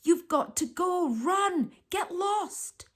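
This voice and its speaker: A woman's voice: very high-pitched, lively intonation, takes it slowly, voice pushed up, exaggerated voice. A woman voicing a frightened young girl in a storybook, pleading urgently with her animal friend. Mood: urgent, alarmed. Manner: urgent pleading and loud hissed cries.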